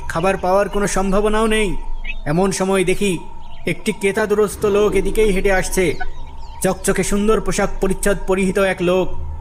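A man's voice: sits high, natural voice. A man playing a poor, starving, remorseful cartoon character who speaks earnestly and pleadingly. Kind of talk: dramatic cartoon storytelling.

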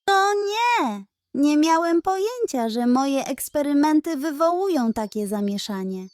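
Young boy badger inventor acted by a woman for a children's storybook: high, eager, sheepishly apologetic. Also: big pitch swings, unhurried, darkish tone, dry recording. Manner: enthusiastic, sheepish. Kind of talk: children's bedtime story character.

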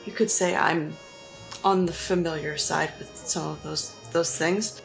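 A woman voicing a weary, guarded woman patient with halting, dryly sarcastic delivery in a tabletop roleplay. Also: sits low, fairly even intonation, creaky, soft background music. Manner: halting, sighing, dry sarcasm.

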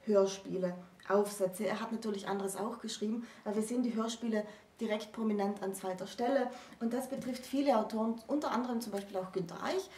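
A woman presents an explanatory talk in a deliberate, conversational way. Her tone is mellow and relaxed. The recording is roomy, with the microphone some distance away. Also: mid-range voice. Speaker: co-presenter explaining literary history. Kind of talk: conversational lecture. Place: small indoor room with bookshelves.

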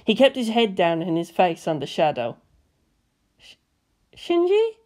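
A woman's voice: lowish voice, expressive, fairly quick, darkish tone. A woman reading scripted fan fiction narration as an audiobook narrator.

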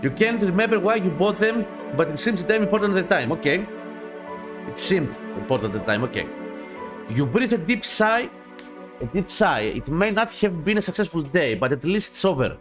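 A man with a fairly high voice and a Greek accent reads game text aloud as a gaming host. He stumbles, repeats phrases and drops in casual, sweary asides. Music plays behind him. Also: big pitch swings, moderate pace. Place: small dim home gaming room.

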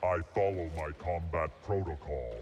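A man's voice: sits low, normal speed, a little mellow, big-room sound, muffled recording, a little hiss or hum. A man voicing a dutiful, literal-minded battle droid in a video game, stiff and formal through a robot filter. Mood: stern, deadpan. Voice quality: electronic, metallic. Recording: faint game ambience behind.

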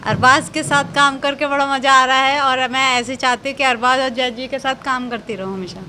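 Bright cheerful woman at an opening. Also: high-pitched, steady tone, a little fast, slight background noise. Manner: cheerful, greets in several languages. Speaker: team member interviewed at an office opening.

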